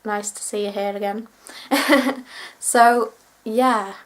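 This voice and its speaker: A woman's voice: on the high side, steady tone, slow pace, poor audio. A twenty-year-old woman vlogging to camera, chatting in a rambling, self-deprecating way while sick with a cold and cough. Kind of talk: casual chat to camera.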